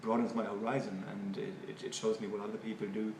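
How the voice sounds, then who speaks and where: A man's voice: lowish voice, little pitch movement. Echoey, distant man with a German accent. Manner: halting, thoughtful, repeats words. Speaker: interviewee. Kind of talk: spoken interview answers. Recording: echoey room, far from mic, noisy.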